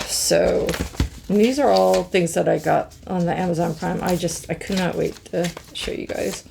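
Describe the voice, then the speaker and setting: A middle-aged woman with a hoarse, scratchy voice, chatting slowly to viewers as she reviews art pens and marvels at their colors. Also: lowish voice. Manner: slow, musing, easily amazed, talks to viewers. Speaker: craft channel host. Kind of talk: casual product review chat.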